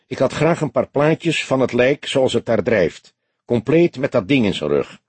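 A man's voice: mid-range voice, very animated, unhurried, slightly rough, no room sound, voice pushed up. A man voicing a patient, mocking, authoritative grey-haired old police detective in an audiobook. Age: older.

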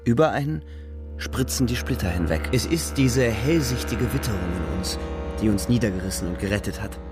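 An actor playing a soldier who narrates the front in a radio play with grave, measured literary phrasing. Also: male voice, on the low side, expressive, over loud music, natural voice. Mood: sombre, tense. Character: young soldier narrating the front, sombre, watchful.

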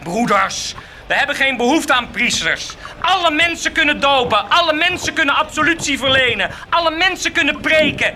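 A man's voice: extremely high pitch, lively intonation, normal speed, pushed and bright, slight background noise, full character voice. A male actor playing a fiery, defiant rebel preacher in a radio drama, declaiming to rouse the townspeople. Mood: furious, inciting. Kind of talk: theatrical radio drama acting.

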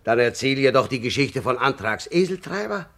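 An actor in a German radio play voicing a sea captain's needling brother with a husky voice. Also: male voice, medium pitch, animated, brisk, fairly bright tone. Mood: prodding.

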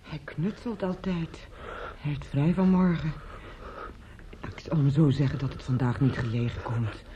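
An actress in a radio play playing a motherly older woman who talks in an unhurried, soothing way. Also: low voice, warm tone. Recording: dull, noisy old radio play recording.

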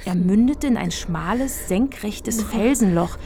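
A woman narrates a children's radio play from a script. Her mid-pitched voice has a creaky, fry-laden edge. There is some noise behind her. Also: expressive, a little slow, dark tone.

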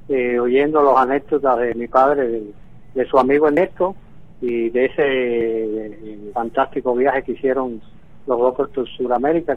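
A man in his sixties, born in Venezuela, speaking by phone from Havana in a measured way with frequent hesitations. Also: mid-range voice. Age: 60s. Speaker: guest. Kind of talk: phone interview. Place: in Havana, Cuba. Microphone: telephone. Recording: tinny phone line.

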